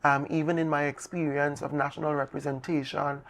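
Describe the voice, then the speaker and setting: A man with a Tobagonian accent and a noticeably creaky voice speaks as an interview guest. He answers conversationally but in measured, formal wording, laying things out point by point. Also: on the high side, flat delivery, fairly bright tone. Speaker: interview guest, festival movement and dance coordinator.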